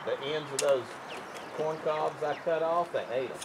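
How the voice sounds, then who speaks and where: A man's voice: fairly high pitch, moderate pace. A middle-aged man, a homesteader, chatting casually with laid-back, short replies. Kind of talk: casual chat. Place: chicken coop and farm yard. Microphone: camera on a tripod. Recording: distant camera mic, loud poultry noise. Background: loud clucking chickens.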